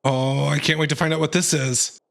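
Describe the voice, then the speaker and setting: A man's voice: fairly high pitch, level tone, fairly quick, gravelly, fairly bright tone, a little hiss or hum. A man giving casual live playthrough commentary as a game streamer, informal and reactive, with jokey asides.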